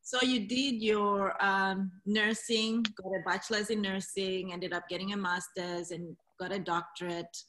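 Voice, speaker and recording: A woman's voice: mid-range voice, steady tone, a little slow, fairly bright tone, rough recording. Woman hosting a podcast interview. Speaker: podcast host. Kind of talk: podcast intro and interview chat.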